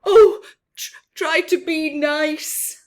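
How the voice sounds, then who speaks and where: A woman's voice: high voice, extremely slow, pitched above the performer's own voice. A woman voicing a big male lion who is frightened and whimpering, in a children's storybook. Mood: frightened, pleading. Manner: loud, wailing, whimpering.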